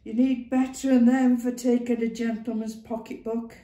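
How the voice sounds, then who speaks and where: A woman's voice: a little high, little pitch movement, normal speed, warm tone, voice pushed up, natural voice. Woman putting on a blunt old matron. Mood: knowing, blunt. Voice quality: earthy dialect, hearty and knowing. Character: shrewd old working-class woman, blunt and worldly. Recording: roomy echo.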